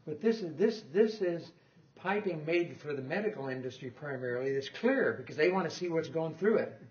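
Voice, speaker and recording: A man's voice: fairly high pitch, expressive, a little fast, a little mellow, lo-fi sound. An older man giving an informal technical talk in a lecture room, rambling and correcting himself with small chuckles. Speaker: guest lecturer on loudspeaker design.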